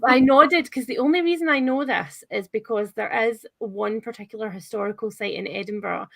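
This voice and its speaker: A woman hosting a casual live book chat, talking in an enthusiastic, chatty way with animated reactions. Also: fairly high pitch, fairly quick, creaky. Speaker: host of a book channel livestream.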